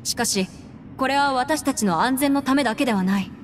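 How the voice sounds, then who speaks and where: Earnest, high-voiced young anime heroine played by an actress. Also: female voice, a little fast, slight background noise, close to an everyday voice. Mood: pleading, firm. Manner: articulate, earnest, slightly formal. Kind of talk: Japanese anime game voice acting. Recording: long reverb, music behind.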